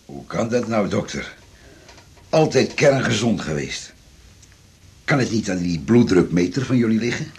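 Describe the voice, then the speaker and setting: Husky, deep-voiced grumbling old seaman. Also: expressive, a little slow, pauses often, darkish tone, exaggerated voice. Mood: grumbling, worried. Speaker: actor in a radio drama. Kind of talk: radio drama acting.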